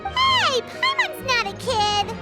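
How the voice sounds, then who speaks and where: A woman voicing a tiny, childlike fairy companion, chirpy and curious, in a video game, with a squeaky voice. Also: huge pitch swings, slow, over a noisy crowd, heavily acted. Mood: indignant. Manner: refers to herself in third person, exclaiming. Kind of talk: animated video game acting. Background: background music.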